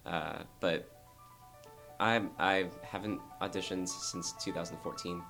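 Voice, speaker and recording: A young man, a dancer, talking earnestly and thoughtfully in an interview, with a very low voice and frequent restarts. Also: steady tone, slow, creaky, bright, forceful tone, faint music behind. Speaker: dancer guest. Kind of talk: reflective interview talk.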